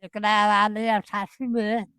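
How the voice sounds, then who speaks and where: An elderly man with a strong rustic Bihari accent, a farmer haltingly recalling the past in an interview, slipping into dialect. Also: high-pitched, level tone, sharp tone, smooth, no room sound, clean studio sound. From Bihar, India.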